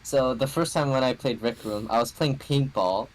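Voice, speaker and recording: Casting: young man, typical pitch, halting casual storyteller, lots of "um" and "like". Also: poor audio. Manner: hesitant, filler-heavy storytelling with restarts. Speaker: podcast co-host. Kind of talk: casual chat.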